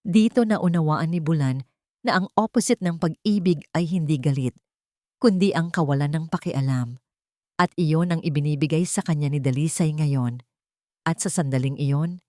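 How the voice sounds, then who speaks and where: Female narrator, Filipino accent, lower register, dry close sound, for scripted romantic drama storytelling. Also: a little mellow, no echo.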